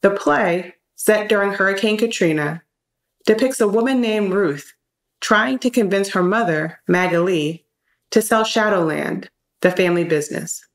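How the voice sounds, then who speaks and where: A woman's voice: mid-range voice, moderate pace. A woman hosting a discussion series, reading scripted narration. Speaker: host.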